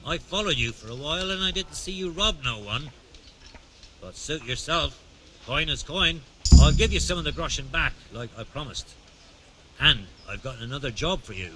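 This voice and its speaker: An actor playing a wily, aggrieved beggar and pickpocket in a video game, plaintive and streetwise. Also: male voice, fairly high pitch, animated, normal speed, noisy background, lightly acted. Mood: grumbling, persuasive. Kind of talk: video game dialogue acting.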